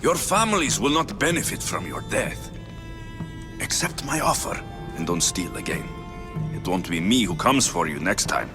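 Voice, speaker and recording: A man's voice: on the low side, big pitch swings, moderate pace, music in the background. An actor playing a confident, wry male mercenary warrior of ancient Greece in a video game, blunt and commanding. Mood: stern, commanding. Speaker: video game lead character. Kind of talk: dramatic game cutscene acting.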